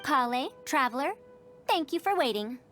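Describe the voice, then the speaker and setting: Game role: self-assured academic woman, high and animated, formal old-fashioned wording. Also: normal speed, faint music behind. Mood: satisfied. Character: confident, headstrong, scholarly young-looking female academic. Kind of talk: voiced game character dialogue.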